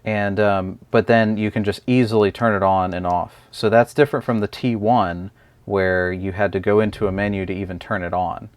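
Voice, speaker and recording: A man with a fairly low voice talks through a gadget in a casual review style. His delivery is unscripted and hesitant as he explains things. The sound is dry and close, with little room to it. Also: fairly even intonation, moderate pace, smooth, no room sound. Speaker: product reviewer.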